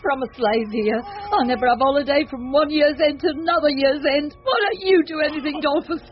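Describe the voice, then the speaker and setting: A harried mother wails and nags. Her voice is high and full of self-pity. The radio drama sound is dull and lo-fi. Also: lively intonation, a little fast. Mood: self-pitying nagging. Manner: wailing, nagging. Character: harried, self-pitying, scolding poor parent. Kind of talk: classic radio drama acting.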